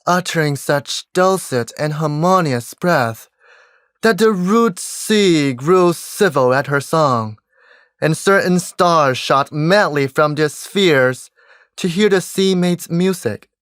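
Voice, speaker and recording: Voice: medium pitch, lively intonation, takes it slowly, a bit bright, clear, resonant tone, dry recording, clean studio sound. An actor playing a lofty, commanding fairy monarch who tells tales in a theatrical stage-verse manner. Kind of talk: Shakespearean verse stage acting.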